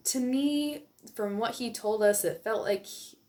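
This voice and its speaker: A woman's voice: fairly high pitch, unhurried, a little mellow. A young woman chatting casually to viewers as a book vlogger, informal and peppered with "like" and offhand asides. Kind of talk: casual chat to viewers, monthly reading wrap-up.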